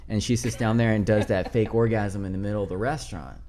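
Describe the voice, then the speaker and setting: Rambling, profane podcast host, deep voice. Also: male voice, steady tone, quick pace, a little mellow. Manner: rambling, profane, fumbles for names. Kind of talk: casual podcast chat, counting down a movie list.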